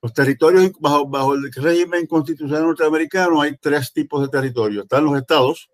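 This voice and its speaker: A man in his sixties from Puerto Rico with a Puerto Rican accent, speaking earnestly over a video call from a small room, pausing often with fillers. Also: fairly high pitch, fairly even intonation. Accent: Puerto Rican Spanish. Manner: earnest, with many pauses and fillers. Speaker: guest caller. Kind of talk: online video call talk. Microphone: laptop webcam on video call.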